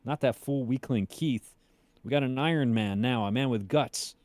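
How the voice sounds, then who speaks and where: A man's voice: mid-range voice, moderate pace, darkish tone, dry recording, pitched above the performer's own voice, natural voice. A man voicing a brash, amused male official with a blunt, scoffing manner in a story reading. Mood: scornful amusement.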